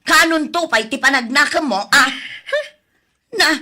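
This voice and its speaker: A woman's voice: high-pitched, pushed and bright, heavily acted. A woman acting an exasperated, nagging but caring older grandmother in an Ilocano radio drama. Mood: exasperated scolding. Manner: nagging, sighing, drawn-out pleading.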